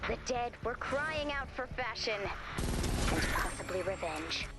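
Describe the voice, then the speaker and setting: A woman's voice: fairly high pitch, expressive, a little slow, heavy background noise. A woman voicing a theatrical saleswoman in a video game, telling her story dramatically with dry asides. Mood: grand, rueful. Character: theatrical woman pitching her clothing business as horror. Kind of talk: video game character voice acting. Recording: music behind the voice.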